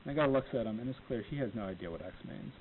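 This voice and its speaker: A man with a low voice that he says is not dynamic, giving an informal talk to law students from a podium microphone, unhurried and full of ums. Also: expressive. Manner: unhurried, many ums, plainspoken. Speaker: lecturer on appellate oral argument. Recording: tinny phone-like audio, faint hiss.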